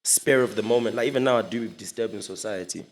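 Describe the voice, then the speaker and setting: Gravelly-voiced man telling stories. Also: fairly low pitch, fast. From Zimbabwe. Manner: relaxed, laughs often, mixes in local words. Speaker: podcast guest. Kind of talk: casual storytelling chat.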